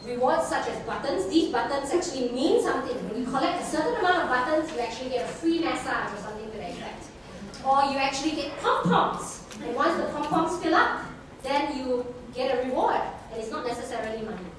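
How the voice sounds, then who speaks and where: High voiced woman rushing thru a conference talk, mic far off, big echoey hall with noise. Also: expressive. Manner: hurried, apologetic, laughing asides. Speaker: conference speaker. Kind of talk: rushed conference presentation. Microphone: handheld microphone.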